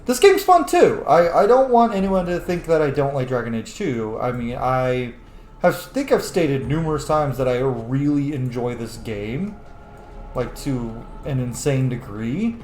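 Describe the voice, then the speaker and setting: Animated, sweary man commentating a game. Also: medium pitch, measured pace, slight background noise. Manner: sweary, chatty, theatrical. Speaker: host of a video game let's-play. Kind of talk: casual gaming commentary. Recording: roomy echo.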